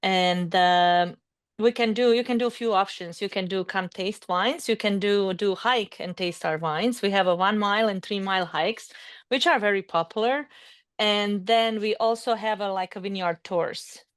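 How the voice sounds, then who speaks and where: A woman with a Bosnian accent speaks as a winemaker guest on a video call. Her voice is smooth and clear at a middle pitch, and her English is friendly but a little halting. The sound is dry. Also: no room sound.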